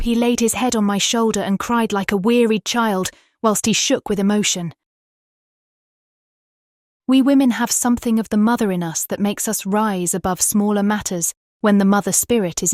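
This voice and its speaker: A woman with a British accent playing a tender young Victorian wife, reading her diary in an earnest, expressive, formal way for a dramatised audiobook. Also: mid-range voice, dry recording, clean studio sound, natural voice. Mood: compassionate.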